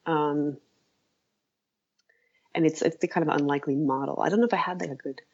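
A woman speaking as an interview guest, hesitant and halting as she thinks aloud in casual conversation. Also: deep voice, steady tone, a little mellow, slightly rough, muffled recording. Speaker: interview guest, writer and author.

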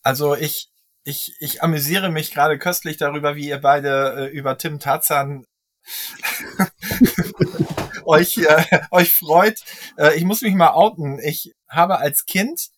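A man's voice: fairly high pitch, steady tone, takes it slowly, a bit bright. A man hosting a podcast in a casual, conversational style. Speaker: podcast host. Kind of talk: casual chat.

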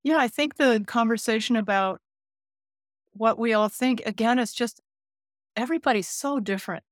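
A woman in her late sixties, a care manager and counselor, speaking gently and thoughtfully in an interview, often pausing to find her words. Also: on the high side, no echo, clean studio sound. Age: 60s. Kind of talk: reflective interview conversation.

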